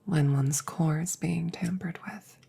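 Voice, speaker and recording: A woman voicing a gentle, stoic female android in an ASMR audio roleplay, whispering breathily with formal, precise, measured phrasing. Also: sits low, creaky, slightly rough, heavily acted. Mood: measured, clinical.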